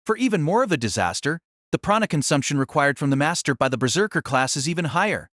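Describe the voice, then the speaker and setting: A man's voice: on the high side, expressive, fast, a bit bright, no room sound, clean studio sound. A man narrating an audio drama in a dramatic, scripted style that rises and falls with the action.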